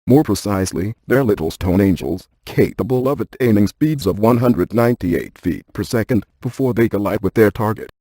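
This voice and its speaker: Husky, deadpan scientist ghost hunter. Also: male voice, lowish voice, moderate pace, hardly any pauses, no echo. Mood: matter-of-fact, grave. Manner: precise, technical, deadpan delivery. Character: earnest, analytical male scientist ghost hunter, matter-of-fact. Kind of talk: video game voice acting.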